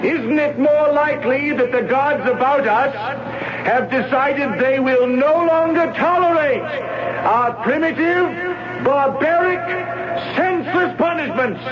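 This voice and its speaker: An actor playing a wronged, defiant Roman addressing citizens in emphatic, declamatory old-time radio style. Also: male voice, extremely high pitch, slow pace, music in the background. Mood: righteous, impassioned. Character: wronged Roman man, impassioned, defiant public orator. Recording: noisy, muffled old radio recording.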